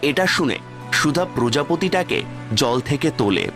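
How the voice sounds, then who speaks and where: Man narrating a cartoon tale over soft music. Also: mid-range voice, a little slow. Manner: steady, plain storytelling. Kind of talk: scripted storybook narration. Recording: faint background music. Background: faint music.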